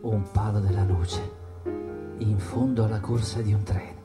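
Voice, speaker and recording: A man's voice: lowish voice, fairly even intonation, normal speed, natural voice. A man acting a haunted figure in a radio play, obsessively repeating short images in a chanted theatrical monologue. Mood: bleak, dreamy. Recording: very loud music over the voice.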